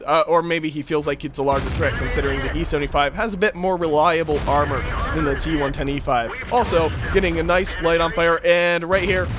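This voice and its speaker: A young man talks through a game replay in a casual, chatty way, his voice raspy since he has just woken up. His voice sits a little high for a man, and steady background noise runs under the recording. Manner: casual and chatty, with frequent fillers. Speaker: gameplay commentator.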